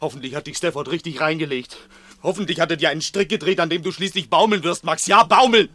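An actor playing a nervous armed crook in a 1960s radio play, threatening yet frightened. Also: male voice, fairly high pitch, fast, bright, forceful tone. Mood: bitter, wounded. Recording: 1960s radio drama recording.

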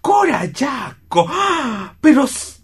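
A woman's voice: high voice, very animated, slow pace, full character voice. A woman playing an eager, starstruck young male journalist in a radio play, with glib, effusive patter. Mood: starstruck, excited.

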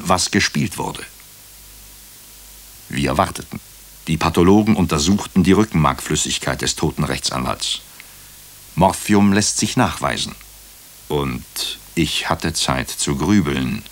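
An actor playing a brooding hospital doctor who narrates a radio crime drama in clipped, deliberate phrases. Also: male voice, deep voice. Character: brooding male hospital doctor recounting a murder case. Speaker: actor narrating as the lead character.

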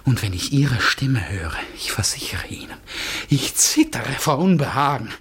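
An actor playing a tormented, erratic man in a radio play, husky and theatrical, moving between whispers and outcries. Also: mid-range voice, big pitch swings, moderate pace, a bit bright, slight background noise. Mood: bitter, accusing. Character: feverish, tormented man, erratic and accusing, unraveling. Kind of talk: German radio play acting.

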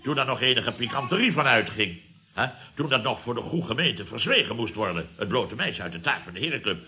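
An actor playing a pompous, nostalgic company boss who orates emphatically, in a Dutch radio comedy serial. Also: male voice, medium pitch, animated, a little fast, bright, forceful tone. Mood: relishing, nostalgic. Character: bombastic, nostalgic male boss of a building firm.

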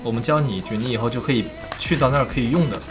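A woman's voice: a bass voice, rapid-fire, soft background music. A woman chatting casually and playfully with fellow audio drama performers. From Nanchang, Jiangxi, China. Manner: playful, joking. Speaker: audio drama cast member on a chat show. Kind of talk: casual chat.

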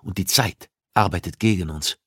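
A man voicing a cold, commanding criminal profiler in an audiobook, arguing with deliberate insistence. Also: deep voice, a bit bright, slightly rough, dry recording, clean studio sound. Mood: grave, insistent. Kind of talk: audiobook dialogue acting.

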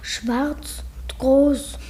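A woman's voice: high-pitched, fairly even intonation, extremely slow, very noisy. A female performer playing a shy, earnest little schoolgirl in a German radio drama, with hesitant, childlike answers. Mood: awed, insistent. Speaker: actor in a radio play.

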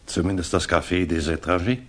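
Deep, husky reserved gentleman. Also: steady tone. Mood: dry, obliging. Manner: polite, dry, deliberate. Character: courteous, reserved middle-aged English expatriate gentleman. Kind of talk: 1960s German radio drama acting, dialogue and narration.

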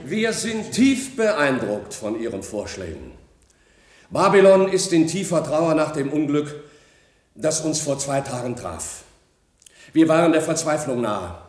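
Ceremonious spokesman, fairly high voice, echoey room, radio drama speech. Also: sing-song, a little slow, darkish tone. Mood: solemn, grateful. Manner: solemn, ceremonious. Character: solemn male spokesman of grieving Babylon. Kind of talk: German radio play acting, formal address. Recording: echoing room in radio play.

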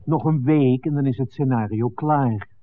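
A muffled vintage radio recording of an actor as a restless, eager colleague. His medium-pitched voice presses on with insistent questions in period drama style. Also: moderate pace, close to an everyday voice. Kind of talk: 1960s Dutch radio drama acting.

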